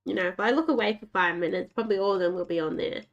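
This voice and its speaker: A woman's voice: mid-range voice, very fast, dull audio. Scratchy-voiced woman streamer, chatty. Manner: rambling, chatty, talks to herself and the game's dogs. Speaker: game streamer. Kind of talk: casual gameplay commentary.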